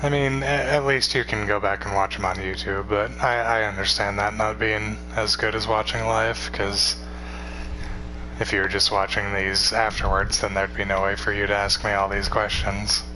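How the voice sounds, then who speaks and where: Tired young man, low flat voice. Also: moderate pace, noisy background. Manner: rambling, relaxed, swears casually. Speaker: streamer. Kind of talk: casual chat with stream viewers.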